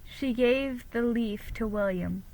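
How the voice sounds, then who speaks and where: A woman giving an expressive, deliberate dramatic reading of a children's story. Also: fairly high pitch, poor audio. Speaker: reader.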